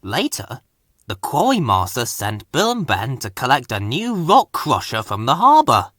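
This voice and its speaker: Male children's story narrator, expressive and dramatic, forceful bright tone with big pitch movement. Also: a little slow, dry recording, studio quality. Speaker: storybook reader.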